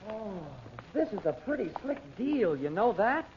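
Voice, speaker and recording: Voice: mid-range voice, sing-song, unhurried. A performer playing an eager, boastful teenage boy in an old-time radio adventure serial, with slangy talk. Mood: excited, cocky. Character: Bob, excitable, boastful American teenage boy. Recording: dull, noisy old radio recording.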